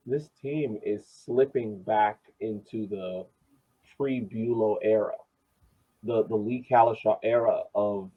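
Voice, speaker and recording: A man co-hosting a soccer podcast, discussing the game casually in a halting way and repeating words. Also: mid-range voice, slightly rough. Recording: muffled, lo-fi podcast audio.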